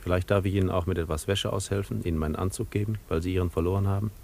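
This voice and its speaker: A man's voice: sits low, steady tone, racing, vocal fry, darkish tone, slight background noise. An actor in a German radio play as a wary, reclusive man living alone by the sea, speaking curtly and formally. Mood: curt, resigned. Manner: curt, formal, guarded.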